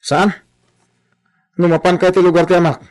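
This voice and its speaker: A man's voice: high voice, level tone, normal speed, lightly acted. A Filipino-accented man playing an aggrieved, stubborn young porter and son in a radio comedy-drama, plaintive and protesting.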